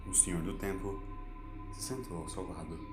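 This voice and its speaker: A man's voice: deep voice, steady tone, moderate pace, reverberant, over loud music. A man with a Brazilian accent reading scripted fiction as a dramatic narrator.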